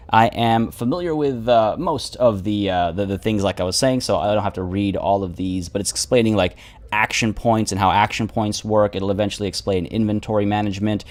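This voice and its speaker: A man live-streaming a game, chatting casually and rambling with many restarts, his voice a little rough from the morning. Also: fairly low pitch, darkish tone.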